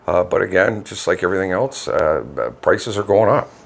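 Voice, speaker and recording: Low-voiced, laid-back Canadian man. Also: a little fast, vocal fry. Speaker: co-host of a local online radio vlog. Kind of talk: casual chat with local news reading. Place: home studio. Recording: noisy home studio with background ambience.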